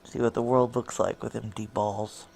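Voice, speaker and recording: A woman's voice: very deep voice, little pitch movement, moderate pace, vocal fry, a little mellow, slight background noise. An actress playing a weary, blunt career military woman in an audio drama, middle-aged, with an American accent and a wry, intimate way of talking. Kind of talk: naturalistic noir audio drama dialogue.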